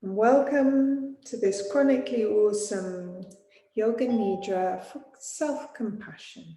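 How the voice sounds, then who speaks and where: A woman teaching yoga nidra, guiding a sleep meditation in a gentle, soothing, unhurried manner with long pauses. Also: mid-range voice. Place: small studio. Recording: roomy echo, music behind voice.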